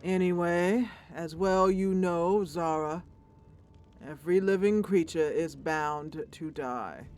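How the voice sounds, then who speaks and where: A woman's voice: lowish voice, takes it slowly, darkish tone. Woman as old male dwarf, fantasy game character, fatalistic, resigned, ponderous delivery, faint music behind. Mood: gloomy.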